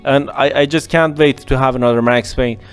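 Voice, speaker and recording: A man hosting a podcast and giving his casual, opinionated views, animated, with pauses and restarts. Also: mid-range voice, clear, resonant tone, faint music behind. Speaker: podcast host.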